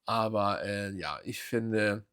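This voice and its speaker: A man's voice: lowish voice, slow pace, a bit bright, a little hiss or hum. A man hosting his own football fan channel, giving rambling casual commentary with many fillers and restarts.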